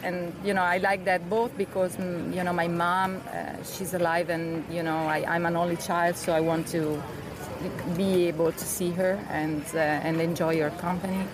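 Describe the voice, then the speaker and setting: A woman's voice: on the low side, level tone, moderate pace. A middle-aged Italian woman, a native Italian speaker talking in English, answering interview questions with many hesitations and fillers. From Italy. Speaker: guest. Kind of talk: casual interview chat. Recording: outdoor recording with street noise.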